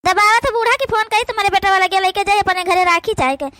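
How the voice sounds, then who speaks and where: A woman's voice: very high voice, quick pace, bright, forceful tone, no room sound, studio quality. A woman voicing a haughty, lazy young village daughter-in-law in a comedy cartoon, bossy and scolding with an Awadhi accent. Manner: imperious, scolding.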